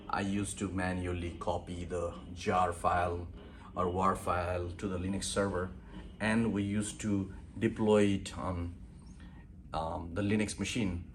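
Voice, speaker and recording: A man's voice: deep voice, slight background noise. A man from Nepal with a Nepali accent, welcoming listeners to his university in an earnest, plain-spoken way with many fillers. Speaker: university founder. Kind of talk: promotional welcome talk.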